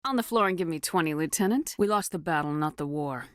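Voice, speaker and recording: A confident military commander of a starship in a video game. Her voice sits on the low side and is recorded close and dry. She speaks with clipped, assured authority and a dry, teasing wit. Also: lively intonation, fast, no echo. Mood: measured, wry. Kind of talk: scripted video game dialogue.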